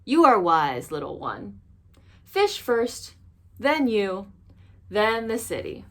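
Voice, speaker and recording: A woman's voice: mid-range voice, big pitch swings, takes it slowly, a bit bright, full character voice. A woman voicing a horrible, ancient male monster in a storybook, hungry, boastful and menacing, with growled and groaned words. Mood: smug, greedy.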